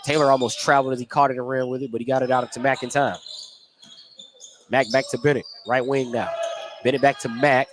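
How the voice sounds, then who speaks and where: A man's voice: medium pitch, fairly even intonation, a little fast. A man doing live radio play-by-play of a basketball game, describing the action in short running phrases on a headset mic. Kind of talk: live radio sports commentary. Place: indoor basketball gym. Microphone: headset microphone. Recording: gym noise behind headset commentary.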